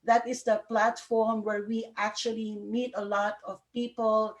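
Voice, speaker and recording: Bubbly talk show host, a woman, slightly high voice, lots of fillers and laughter, on a video call. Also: little pitch movement, measured pace. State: sleepy. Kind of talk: live online talk show.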